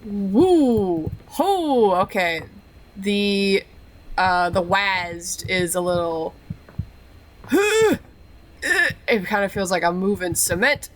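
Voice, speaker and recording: A woman's voice: medium pitch, animated, drawn-out words, pauses often. A woman with a slight cold, chatting casually while playing a video game, with lots of fillers. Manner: chatty, filler-heavy, giggly. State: has a cold. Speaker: let's play streamer. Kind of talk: casual gameplay commentary.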